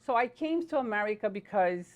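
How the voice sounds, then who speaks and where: A woman from Brazil, a Portuguese speaker, answering interview questions as a political candidate in earnest, halting English. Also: medium pitch, animated, muffled recording.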